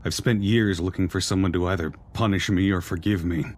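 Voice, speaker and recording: A weary outcast soldier in a video game. His voice sits low, and he speaks earnestly and reflectively, like someone long burdened. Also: steady tone, brisk, darkish tone. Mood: reflective, resolute. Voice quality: low-pitched.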